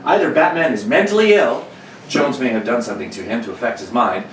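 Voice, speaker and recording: A man's voice: mid-range voice, fairly quick, lightly acted. A man playing an earnest, determined newspaper reporter with a secret superhero identity, in a 1940s radio serial reading. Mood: urgent. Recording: roomy, noisy, distant stage microphone.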